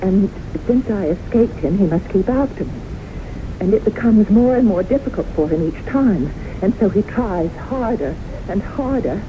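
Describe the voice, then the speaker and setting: An actress playing a haunted, earnest woman in a 1970s radio drama, speaking with halting, deliberate intensity. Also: medium pitch, lively intonation, close to an everyday voice. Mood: earnest, confiding. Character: haunted, earnest woman convinced death pursues her. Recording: noisy, muffled 1970s radio broadcast recording.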